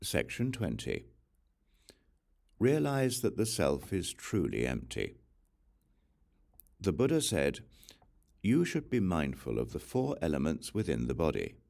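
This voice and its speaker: A man reading scripture aloud as an audiobook narrator, in a measured, solemn recitation. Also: sits low, wildly swooping pitch, creaky, darkish tone. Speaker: audiobook reader.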